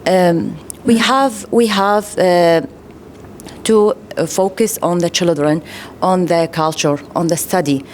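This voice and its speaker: A woman from Iraq with an Arabic accent, speaking English as a second language at a round-table discussion, pausing often and restarting her sentences. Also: lowish voice, a little mellow, a little hiss or hum. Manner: halting, many fillers and restarts. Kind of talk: panel discussion remarks.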